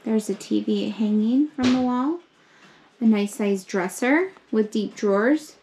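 A young woman giving an upbeat, slow walkthrough of a hotel suite, pointing things out as she goes, recorded on a handheld camera. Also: medium pitch, a little mellow. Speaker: vlogger giving a room tour. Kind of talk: casual walkthrough narration. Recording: echoey rooms with faint ambience.

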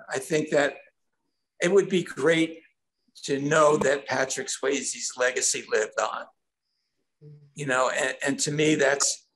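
Slow, earnest older man, noisy line. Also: mid-range voice, fairly bright tone. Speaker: songwriter, interview guest. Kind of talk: conversational interview answer. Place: room at home, indoors. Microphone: video call. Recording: noisy video call with clicks.

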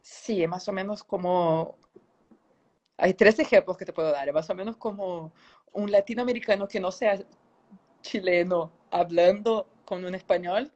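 A woman's voice: medium pitch, a little mellow. A woman in her thirties from Brazil speaks Spanish with a Brazilian Portuguese accent, reflective and hesitant as an interview guest searching for words, on a lo-fi video call. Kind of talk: casual, reflective interview answers. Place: indoor room, on a video call. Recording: dull, lo-fi video call sound.

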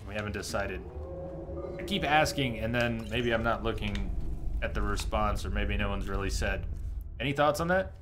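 A man with a fairly low voice commentates casually on a game as he streams. He chats conversationally with viewers, with clear game noise behind him. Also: lively intonation, moderate pace. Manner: conversational, reacting to chat. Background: game sounds.

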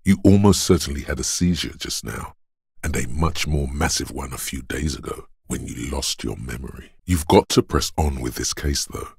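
Super deep raspy inner voice of a beat up detective in a game, grave n stoic, slow heavy words, really dry close sound. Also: male voice, a little mellow, no echo, full character voice. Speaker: voice actor. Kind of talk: scripted game narration in second person.

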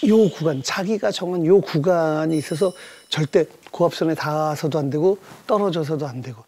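A man's voice: fairly high pitch, normal speed. A man in his fifties, a guest in a casual chat over drinks, telling stories with big gestures in a small indoor bar set. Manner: animated storyteller, gestures broadly. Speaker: guest entertainer.

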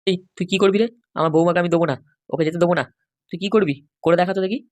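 A woman's voice: fairly low pitch, steady tone, racing, a little mellow, natural voice. A woman voicing a protective, stern middle-aged father in a comic cartoon, speaking in an admonishing way.

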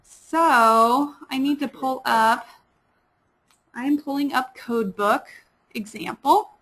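Midwestern woman presenter, quick and chatty with lots of fillers, voice sits a bit high. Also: a bit bright. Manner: fast-talking, many fillers and restarts. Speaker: librarian presenting a webinar. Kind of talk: webinar lecture.

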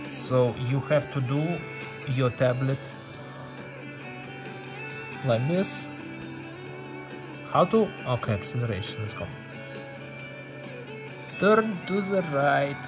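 A man's voice: medium pitch, lively intonation, slow, long pauses, over music. A man in giving casual, playful gameplay commentary, thinking aloud as he plays. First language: not English. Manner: playful, thinking aloud, with sung-out sound effects. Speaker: gaming video host.

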